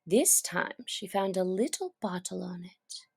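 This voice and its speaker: Slow, expressive woman reading a storybook. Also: lowish voice, gravelly, slightly rough. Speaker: children's story reader. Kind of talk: read-aloud storybook narration.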